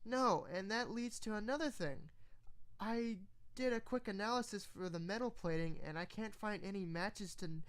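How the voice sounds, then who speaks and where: A woman's voice: medium pitch, normal speed, lightly acted. A woman voicing a bookish, analytical young female cartoon pony, earnest and explanatory.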